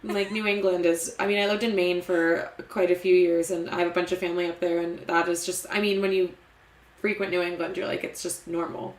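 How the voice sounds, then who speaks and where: A woman's voice: fairly low pitch, level tone, roomy sound, low-quality recording. A woman singer-songwriter chatting casually with a friend over a livestream video call, giggling and using lots of fillers. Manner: rapid, giggly, filler-heavy chat.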